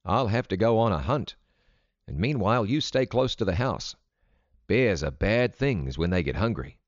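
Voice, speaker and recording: A man's voice: on the low side, expressive, moderate pace, no room sound, dull audio, lightly acted. A weary storybook father and hunter , acted by a man. He speaks tersely and plainly, in a matter-of-fact way, with very little room sound. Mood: grave.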